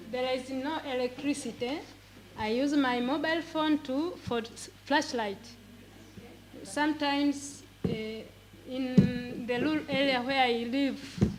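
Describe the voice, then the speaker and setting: A woman with a Tanzanian accent, a native Swahili speaker, giving a prepared talk in halting English and repeating words as she searches for them. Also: sits high, rough recording. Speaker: pre-primary teacher and conference speaker.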